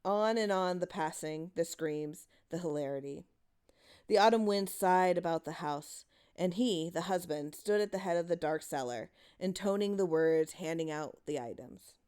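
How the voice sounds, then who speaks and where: A woman's voice: on the low side, moderate pace, a little mellow, smooth. A woman narrating a horror short story in a dramatic reading style.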